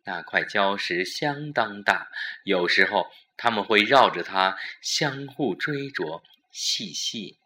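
Male drama narrator: slow, deliberate and suspenseful, low-pitched, scripted storytelling. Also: expressive, sharp tone, a little hiss or hum.

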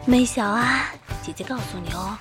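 A woman's voice: a little high, moderate pace. A woman hosting a scripted radio show, mixing monologue with playful, teasing big-sister banter. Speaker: online radio host. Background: music.

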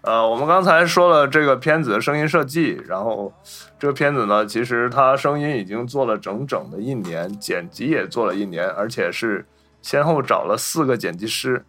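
A man's voice: mid-range voice, lively intonation, normal speed, bright, forceful tone. A man hosting a film podcast, chatting casually and telling anecdotes in a wordy, repetitive way.

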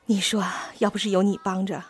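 Actress as sympathetic mother, slightly husky. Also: medium pitch, level tone, a little fast, lightly acted. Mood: grateful, sympathetic. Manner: conversational, sympathetic. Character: grateful, sympathetic mother of a special-needs child. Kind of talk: radio drama acting.

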